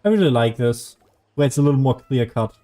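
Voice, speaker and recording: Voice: mid-range voice, lively intonation, a little fast, dark tone. A person giving casual, joking video game commentary in a laid-back, musing way. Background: faint game music.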